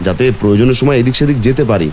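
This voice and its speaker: An actor voicing a brave, steady village father who leads his family to safety and speaks with urgent command in a cartoon. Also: medium pitch, very fast, a little hiss or hum, close to an everyday voice. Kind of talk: cartoon voice acting.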